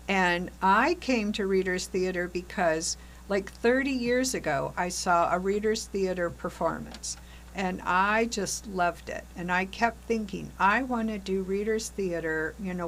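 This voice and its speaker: A woman's voice: mid-range voice, a little slow, slight background noise, rough recording. An older woman, a former teacher and group facilitator, interviewing a fellow member and sharing her own memories in a friendly way. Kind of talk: casual interview talk.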